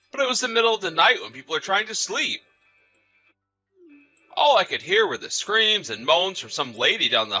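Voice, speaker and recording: A man's voice: on the high side, sharp tone, heavily acted, poor audio. A man playing an awkward neighbor with a complaint in a scripted audio story, hesitant and tactful but insistent. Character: awkward, tired male neighbor making a complaint.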